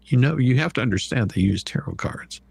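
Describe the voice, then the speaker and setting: Male livestream host, husky voice, casual, jokey, excitable delivery with repeated phrases. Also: medium pitch, fairly quick.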